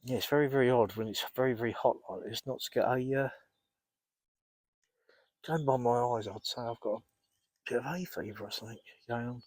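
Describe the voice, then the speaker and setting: A man's voice: medium pitch, brisk, long pauses, darkish tone, no room sound. Congested man chatting by a lake. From England. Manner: rambling, repeats himself, clears throat often. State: congested, blocked up with a cold. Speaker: carp fishing vlogger. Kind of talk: casual chat to camera. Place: outdoors by a lake.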